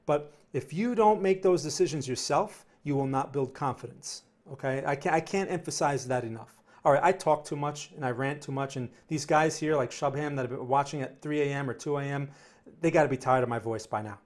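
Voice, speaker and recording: A man's voice: fairly high pitch, a little fast. New Jersey man hosting a live stream. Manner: rambling, repeats words, frequent "right?" tags. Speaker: trading stream host. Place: home studio near New York City.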